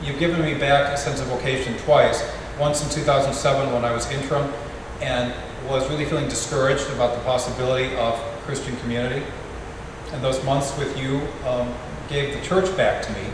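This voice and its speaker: A middle-aged American man, a parish artist in residence, reads a wry poem in a gentle, measured way with pauses at a farewell gathering. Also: medium pitch, steady tone. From United States. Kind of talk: poetry reading at a farewell gathering. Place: large echoey parish hall. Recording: echoey hall from far off, noisy.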